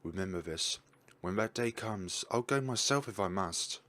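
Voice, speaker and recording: Man, very low voice, reading a visual novel aloud, self-corrections, casual asides. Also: expressive, normal speed, bright, forceful tone. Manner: stumbling reads with self-corrections.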